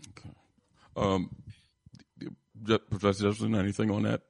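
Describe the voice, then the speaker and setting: A man hosting a talk show, putting questions to guests in a halting, rambling way. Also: on the low side, little pitch movement, creaky, no echo, clean studio sound. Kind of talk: interview questioning.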